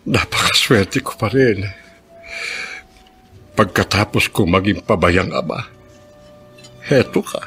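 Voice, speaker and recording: An actor playing an ailing, remorseful old father, plaintive and halting, in a Filipino radio drama. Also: fairly low pitch, bright, forceful tone. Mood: grateful, ashamed. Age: older.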